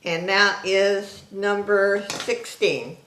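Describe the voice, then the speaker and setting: Loud, slow-talking woman in echoey room. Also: mid-range voice, fairly even intonation, a little hiss or hum. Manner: loud, slow and deliberate, item by item. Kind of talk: casual live-stream selling.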